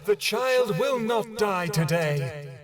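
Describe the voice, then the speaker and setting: A man voicing a vengeful, powerful female fairy goddess who booms her words in a sing-song, commanding way, with a doubled, layered voice. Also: animated, slow, a crowd in the background.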